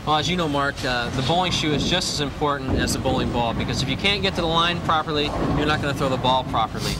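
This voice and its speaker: A man's voice: medium pitch, quick pace, heavy background noise. A man in his thirties, a pro bowler, explaining grips and shoes in an instructional video, recorded indoors with a lapel mic and repeating the key points. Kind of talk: instructional demonstration. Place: indoor bowling alley.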